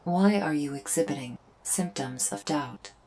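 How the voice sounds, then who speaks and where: A woman's voice: low voice, animated, unhurried, warm tone. A woman playing a remorseful alien invader in an ASMR roleplay, speaking in formal, precise, stilted phrases. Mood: formal, assuring. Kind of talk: scripted ASMR roleplay to the listener.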